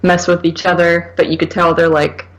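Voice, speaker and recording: A woman's voice: lowish voice, level tone, moderate pace, low-quality recording. A woman co-hosting a horror podcast, chatting casually and slangily about films, saying "like" constantly. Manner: slangy, heavy use of "like". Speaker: horror film podcast co-host. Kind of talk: casual film review chat.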